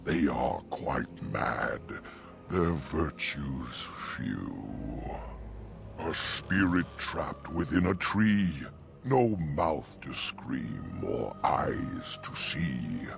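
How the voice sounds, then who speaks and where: An actor playing an ancient, courteous talking oak in a fantasy game, speaking archaic rhymed verse in a grandiose, gravelly voice. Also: male voice, rumbling low, very animated, extremely slow, over music, full character voice. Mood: wistful, solemn.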